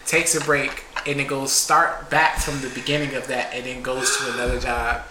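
A man's voice: medium pitch, level tone, normal speed, roomy sound, background noise. A man co-hosting a podcast, chatting casually, teasing the guest and cheering him on. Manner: teasing, affirming backchannels. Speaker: podcast co-host.

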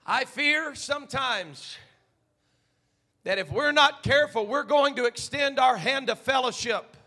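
A male pastor preaching a sermon, swinging from hushed asides to shouted climaxes. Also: very high-pitched, measured pace.

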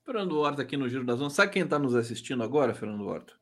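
Casual Brazilian male show host, medium pitch. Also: sing-song, racing. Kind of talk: live chat show hosting, casual.

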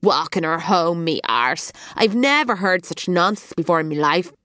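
A woman voicing a gossipy male village cafe owner with a booming, sing-song Irish accent, loud and nosy. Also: lively intonation, moderate pace, dry recording, clean studio sound. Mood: gossiping.

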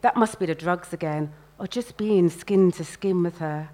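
A woman's voice: on the low side, normal speed, warm tone. A woman, an author reading first-person fiction aloud expressively at a live event.

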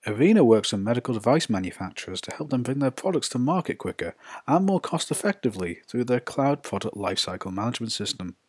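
A man with a mid-pitched voice hosts an interview podcast. He speaks close to the microphone in a dry space with no room sound. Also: big pitch swings, fast talker, breathy edge, no room sound. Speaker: podcast host. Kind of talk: scripted introduction and interview questions.

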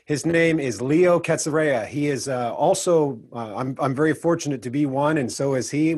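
A man's voice: medium pitch, darkish tone, breathy edge. A man co-hosting an interview show, introducing a guest and asking earnest questions with some restarts. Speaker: co-host. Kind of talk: podcast interview.

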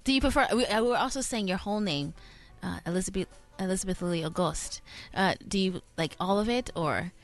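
A woman's voice: medium pitch, fairly quick, hardly any pauses, faint music behind. A woman co-hosting a casual interview, talking loosely and rambling with fillers like "I don't know, it's like". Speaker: co-host.

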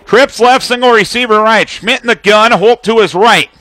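A male play-by-play announcer with a high-pitched, bright and forceful voice calls live football for radio. He lays out the situation and the action in clipped, detailed phrases. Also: normal speed, no room sound.

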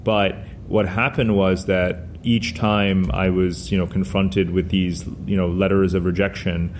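Middle-aged guest, Hindi native speaking English, lowish voice, rambling and reflective, faint hiss. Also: male voice, flat delivery, measured pace. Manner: discursive, frequent "you know" fillers. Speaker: guest author. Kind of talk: conversational interview answers.

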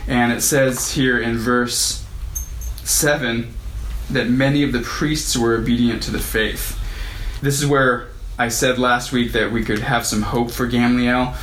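A man delivers a sermon, talking through scripture in a loose, conversational way and lifting his voice when he reads the verses. His voice sits at an ordinary middle pitch. The room is echoey, with a faint hum of ambience behind him. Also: a bit bright. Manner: conversational, reads verses aloud with rising emphasis. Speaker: pastor.

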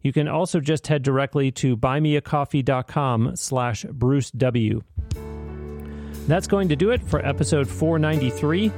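A man hosting a podcast, reading an outro in an earnest, carefully enunciated, formal way with some gravel in his voice. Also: medium pitch, moderate pace, a little mellow, soft background music. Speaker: podcast host. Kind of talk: scripted podcast outro narration.

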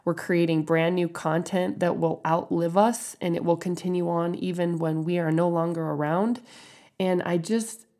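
A woman hosting a podcast, earnest and encouraging, her voice husky from a head cold. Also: lowish voice, steady tone, normal speed, mellow. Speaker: podcast host. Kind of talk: podcast intro and interview.

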